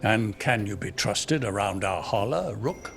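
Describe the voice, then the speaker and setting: Wry, cranky old elf, animated. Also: male voice, fairly low pitch, a little slow, vocal fry, over music. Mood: grave, wary. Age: older. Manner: teasing, plain-spoken. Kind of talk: video game voice acting.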